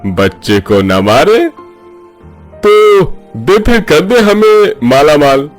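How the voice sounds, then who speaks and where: A man's voice: fairly high pitch, very animated, extremely slow, full character voice. A man voicing a cruel, mocking bandit boss in a cartoon horror story. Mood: cruel gloating. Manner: mocking, laughing menace. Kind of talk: Hindi animated story dub. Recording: music under the voice.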